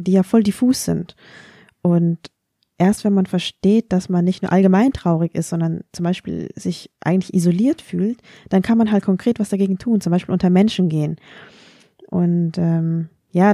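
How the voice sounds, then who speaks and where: A woman's voice: fairly low pitch, warm tone, dry recording, clean studio sound. A woman who grew up speaking Vietnamese, talking thoughtfully and candidly about her own feelings in a podcast conversation. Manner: thoughtful, candid, self-ironic. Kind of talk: reflective personal conversation.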